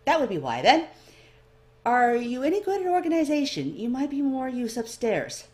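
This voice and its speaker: A woman voicing a chatty, flustered male elf librarian in a fantasy story, hurried and slightly impatient. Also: high voice, big pitch swings, a little mellow, pitched above the performer's own voice. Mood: breezy.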